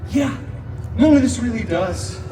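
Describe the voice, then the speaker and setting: A man's voice: extremely high pitch, lively intonation, unhurried. A man playing a sarcastic, theatrical masked antihero who tells stories to a live audience with comic asides. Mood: sardonic. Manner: sarcastic, theatrical asides, mock-grand reading. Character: cheeky, theatrical masked antihero mercenary hosting story time. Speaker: live theme park storyteller. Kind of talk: comic live stage storytelling with audience banter. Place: outdoor theme park show, recorded from the audience. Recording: echoey outdoor PA, distant, music and crowd.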